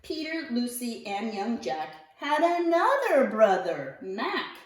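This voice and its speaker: A woman reading a picture book aloud to young children, expressively, with deliberate phrasing and drawn-out key words. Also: a little high, very animated, a little hiss or hum. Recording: roomy echo, distant mic.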